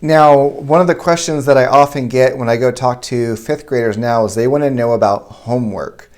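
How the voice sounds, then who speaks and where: A man's voice: medium pitch, moderate pace, darkish tone. A man speaking as a school principal, hosting an interview and putting questions in a semi-formal way.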